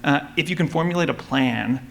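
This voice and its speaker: A man's voice: a little high, brisk, creaky. A man speaking as a panelist, giving methodical, practical advice in a casual explanatory way at a live event. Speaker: audio drama creator, panelist. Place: live convention panel before an audience. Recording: live panel with background room noise.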